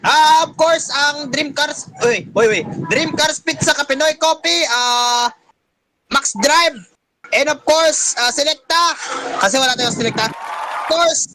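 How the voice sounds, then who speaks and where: A co-host with a Filipino accent casually announcing prizes, with some halting. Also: high-pitched, pushed and bright. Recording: noisy mic with crowd chatter.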